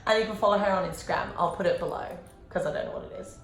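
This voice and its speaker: A woman's voice: fairly low pitch, very fast. A woman chatting casually at a massage clinic, with lots of "like" fillers. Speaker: vlogger. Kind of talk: casual vlog chat. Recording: echoey clinic room.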